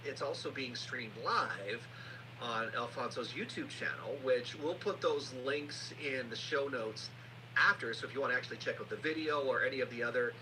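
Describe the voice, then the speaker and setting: A man's voice: mid-range voice, pushed and bright. A man hosting a podcast, introducing and questioning his guest in a conversational way. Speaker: podcast host. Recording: noisy, humming live stream audio.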